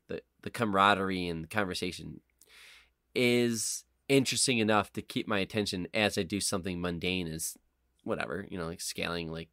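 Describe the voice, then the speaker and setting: A man co-hosting a podcast in casual, opinionated chat, emphatic, rambling and quick to swear. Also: low-pitched, a bit bright, no echo, studio quality. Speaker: podcast co-host. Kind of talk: casual chat, opinionated film and game discussion.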